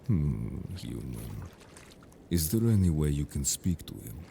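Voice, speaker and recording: A stern merfolk guard played by a man speaks in an extremely deep, dark voice over noticeable noise. He is formal and measured, a protective, serious interrogator from a fantasy audio drama. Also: big pitch swings, vocal fry, exaggerated voice. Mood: probing, stern. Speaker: voice actor in an audio roleplay. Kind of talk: scripted audio drama roleplay.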